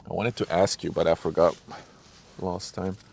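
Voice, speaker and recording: Low creaky guy, bit hoarse, mutters to himself setting up cameras outside. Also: level tone, normal speed, a little mellow, a little hiss or hum. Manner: chatty, muttering to himself while setting up gear. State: slightly hoarse from a cold. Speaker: ship-spotting livestreamer. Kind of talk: casual live commentary. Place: outdoors on a riverbank.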